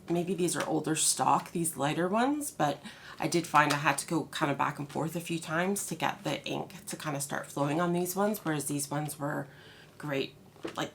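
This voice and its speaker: Low, gravelly-voiced woman reviewing products. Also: slight background noise. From Canada. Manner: chatty, many fillers and restarts. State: congested. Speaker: haul video host.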